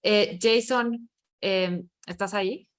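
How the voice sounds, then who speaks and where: A woman moderating a webinar panel in a conversational, measured way. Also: medium pitch, fairly even intonation.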